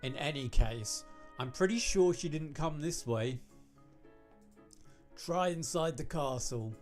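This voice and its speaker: A man's voice: a little high, animated, slow, a little mellow, pitched above the performer's own voice. Man acting a guarded young fellow, cryptic, emphatic, visual novel, music underneath. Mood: cautioning.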